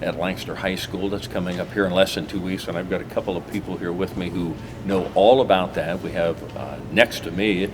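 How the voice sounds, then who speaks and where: Deep-voiced man hosting local TV. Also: fairly quick, few pauses, noisy background. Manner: relaxed, rambling, many "uh" restarts. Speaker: host of a local TV talk show. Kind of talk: casual interview chat.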